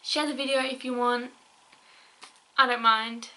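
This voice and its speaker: A woman's voice: on the high side, level tone, unhurried, bright, forceful tone, poor audio. A young woman vlogging to her viewers in a heated, rambling rant, out of breath and losing her voice. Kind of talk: angry opinion rant to camera.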